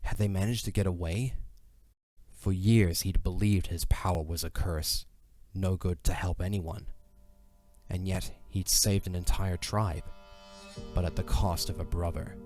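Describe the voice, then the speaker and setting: A man's voice: sits low, steady tone, measured pace, a little mellow, soft background music. A man narrating a fantasy audio drama in a dramatic, scripted storytelling style.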